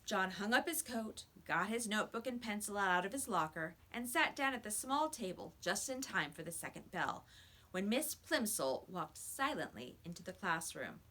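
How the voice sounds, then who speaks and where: A woman's voice: mid-range voice, expressive, normal speed, bright, forceful tone. A woman reading a children's story aloud like a teacher, with emphatic, dramatic storytelling.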